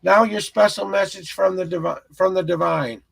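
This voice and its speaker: A man with a crackly, raspy voice giving an earnest, encouraging and rambling tarot reading as a live stream host. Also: sits high, steady tone, moderate pace. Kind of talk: spiritual tarot reading, casual guidance to a viewer.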